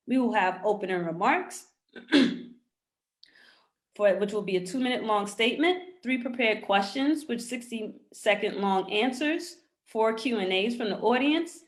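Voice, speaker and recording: Woman formally reading out forum rules. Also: medium pitch. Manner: orderly, formal. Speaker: forum organizer.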